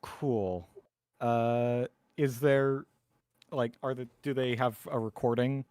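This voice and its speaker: A man talks casually as a player in a tabletop game. His delivery is slow, halting and wry, at an average pitch and with very little room sound. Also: darkish tone, clean tone, dry recording, clean studio sound.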